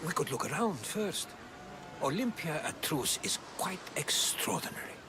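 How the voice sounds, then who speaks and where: Casting: polite, curious game companion, lightly high animated voice, very noisy background. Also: male voice, moderate pace. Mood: mild enthusiasm. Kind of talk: video game cutscene acting.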